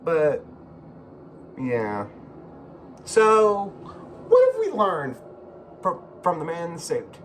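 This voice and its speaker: A man giving casual, rambling video commentary as a host, halting and repeating himself, with informal, sweary phrasing. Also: fairly high pitch, wildly swooping pitch, a little hiss or hum. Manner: profane, informal. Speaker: YouTube host.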